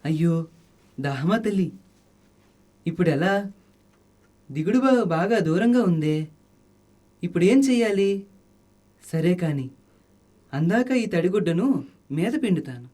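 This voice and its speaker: A man's voice: high voice, expressive, a little slow, long pauses, mellow. A man playing a humble, anxious, kindly Buddhist monk in a radio drama, exclamatory and solicitous. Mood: concerned, pleading.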